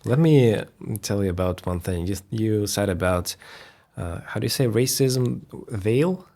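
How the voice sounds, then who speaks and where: A man's voice: on the low side, expressive, a little slow, dark tone. A man from Russia with a Russian accent, a native Russian speaker, talking as an interview guest in an emphatic, blunt way. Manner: emphatic, blunt, mildly profane. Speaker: guest, tech reviewer. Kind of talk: interview conversation.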